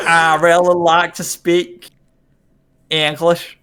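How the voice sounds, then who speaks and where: A man voicing a Korean man who speaks slow, overly formal broken English. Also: on the high side, fairly bright tone, clean tone, heavily acted.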